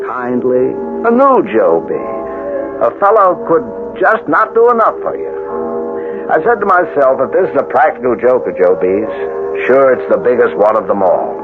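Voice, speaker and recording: A man plays a folksy small-town letter carrier spinning a tale for radio. His homespun delivery is lively and swoops around. It sounds tinny and narrow, an old broadcast with music behind. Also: mid-range voice, natural voice. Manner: homespun, rustic, chatty. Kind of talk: radio drama narration and character acting.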